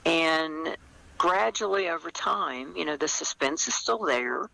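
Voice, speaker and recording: Gravelly older woman, dry close sound. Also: sits low, a little slow, sharp tone, no echo, rough recording. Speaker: novelist, interview guest. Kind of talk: conversational interview, explaining her writing.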